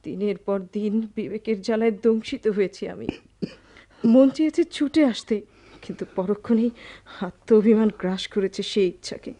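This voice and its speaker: A woman's voice: medium pitch, normal speed, warm tone, close to an everyday voice. An actress playing a remorseful woman pleading with her beloved in a radio drama, in an impassioned, melodramatic manner. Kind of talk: Bengali radio drama acting.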